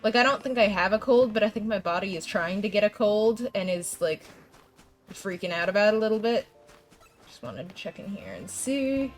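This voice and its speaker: A woman's voice: medium pitch, expressive, moderate pace, soft background music. A young woman streaming a game and chatting with viewers, congested from a coming cold, rambling with restarts and laughs. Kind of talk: casual gameplay chat with viewers.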